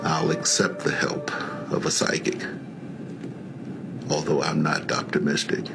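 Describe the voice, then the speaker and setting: A man working as a police detective, speaking in a sober, deliberate way in an interview. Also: a bass voice, monotone, vocal fry. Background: music.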